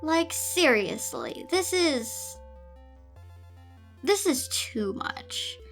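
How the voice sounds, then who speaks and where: A woman's voice: high-pitched, sing-song, extremely slow, creaky, fairly bright tone, soft background music. A woman hosting a casual story reading, giving dramatic, exasperated and sarcastic running commentary. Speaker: host reading fan fiction aloud.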